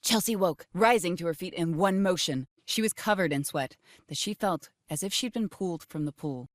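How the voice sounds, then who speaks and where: A woman's voice: lowish voice, normal speed, dry recording, clean studio sound. A woman narrating a dramatic scripted horror audiobook.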